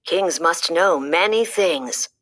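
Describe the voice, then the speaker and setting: A woman reads a children's storybook aloud in a slow, unhurried way. Her voice sits a little low but swoops up and down with lively expression. She leans into each line like a storyteller. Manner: slow, lively storytelling with dramatic pitch swings. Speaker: storybook reader. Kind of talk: children's read-aloud narration.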